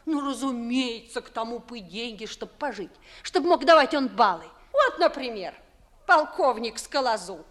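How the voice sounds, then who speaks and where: An actress playing a shrewd, outspoken young maidservant, delivering verse in a lively, knowing, folksy way in 1950s radio style. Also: female voice, fairly high pitch, moderate pace. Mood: knowing, chiding.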